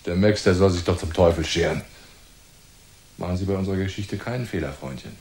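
An actor playing a gruff, bossy, self-serving police detective in a noir radio drama, blunt and slangy. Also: male voice, low-pitched, a little fast, darkish tone. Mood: gruff, threatening. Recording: echoey room.

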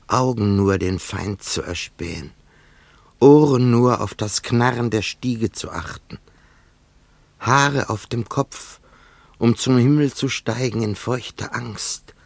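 Haunted, gravelly-voiced man, slow-spoken. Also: mid-range voice, no echo. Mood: haunted, intense. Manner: slow, halting, incantatory delivery. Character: haunted scissors grinder, survivor of a massacre, solemn. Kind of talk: poetic radio play acting.

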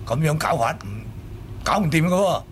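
A man's voice: a little high, lively intonation, normal speed, voice pushed up, natural voice. A grumpy old troupe cook, woken at four in the morning, barks out his indignant scolding in a raised, heated voice, as an older storyteller puts him on. Noise hisses under the recording. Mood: angry scolding. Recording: noisy background.

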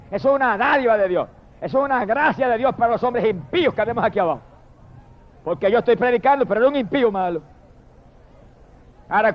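A man with a high voice preaches a fervent sermon, calling out praise to God again and again between long, insistent appeals. The recording is dull and lo-fi, with the top end muffled. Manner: impassioned, repetitive exclamations of praise.

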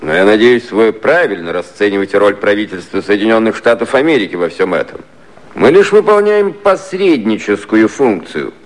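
An actor playing a firm, formal American envoy in a satirical 1950s radio play, declaiming in an official manner. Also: male voice, fairly low pitch, sing-song, normal speed.

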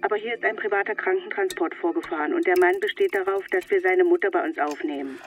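An actress playing a deferential hospital nurse in a German radio crime drama, speaking carefully and apologetically. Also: female voice, fairly low pitch, fast, soft background music, natural voice. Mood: apologetic.

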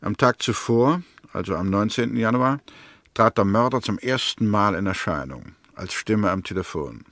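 An actor playing a firm, methodical prosecutor in a 1960s German radio drama, speaking formally and precisely. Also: male voice, on the low side, animated, moderate pace, a bit bright, dry recording, studio quality. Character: male prosecutor, methodical, firm, convinced of guilt. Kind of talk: 1960s German radio drama acting, courtroom-style argument.

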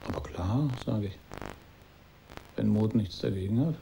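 An actor playing a detached, brooding narrator in a literary radio drama, deliberate and at times hushed. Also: male voice, lowish voice, vocal fry, big-room sound, a little hiss or hum. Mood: subdued. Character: detached, brooding male narrator of a broken romance.

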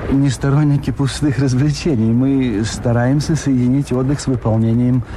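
An actor playing a genial, idealistic elderly gentleman, polite, earnest and gently hesitant, in a Soviet radio drama. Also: steady tone, normal speed, lightly acted. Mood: earnest, modest. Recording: noisy old radio play, rumbling background.

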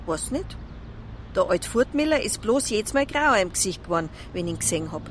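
An actress playing a gossipy, plain-spoken village newsagent in a radio drama, speaking in a folksy Bavarian accent. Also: female voice, mid-range voice, sing-song, brisk, a little hiss or hum, lightly acted. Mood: confiding. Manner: chatty, folksy. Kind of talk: naturalistic radio drama dialogue.

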